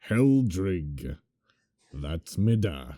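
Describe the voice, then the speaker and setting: A man doing a gruff, dark-voiced dwarf. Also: low voice, very animated, full character voice. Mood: exasperated. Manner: blunt and exasperated, drawn-out delivery.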